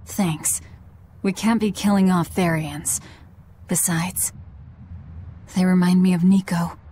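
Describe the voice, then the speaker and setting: A woman's voice: fairly low pitch, steady tone, takes it slowly, warm tone, a little hiss or hum. A woman playing a blunt, driven young female fighter in a video game, curt and stern. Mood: brusque. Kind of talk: English game voice acting.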